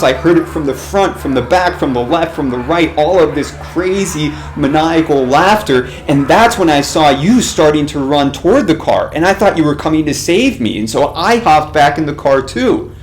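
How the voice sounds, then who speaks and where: A man's voice: a little high, echoey room, a little hiss or hum, pitched above the performer's own voice, natural voice, low-quality recording. A man voicing a frightened wife stranded in a broken-down car, telling her story in a frantic rush. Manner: frantic, breathless retelling.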